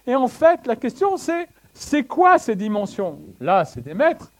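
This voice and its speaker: A middle-aged man from France preaching a sermon with slides, conversational and lively, joking with the congregation and swinging between soft and loud passages. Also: very high voice, a little slow. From France (Le Havre).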